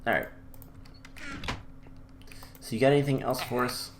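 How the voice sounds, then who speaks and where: A man giving relaxed, chatty commentary over a modded game, his voice a little off because he is coming down with a cold. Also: medium pitch, a little slow, a little hiss or hum. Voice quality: a little off from illness. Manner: relaxed and chatty with many ums. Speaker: gameplay commentator. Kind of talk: casual let's-play commentary.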